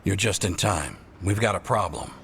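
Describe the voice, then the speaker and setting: An actor playing a stern, gruff leader of forest scouts in a fantasy video game, speaking tersely. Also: male voice, low voice, expressive, normal speed, fairly bright tone, a little hiss or hum, exaggerated voice. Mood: grim, commanding.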